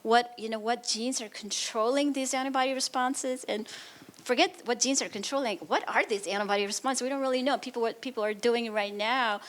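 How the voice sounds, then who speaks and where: A woman's voice: on the high side, brisk. A woman from Nepal, a researcher, giving a public science talk and answering audience questions in an earnest, explanatory way. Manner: earnest, explanatory, frequent restarts. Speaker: immunology researcher.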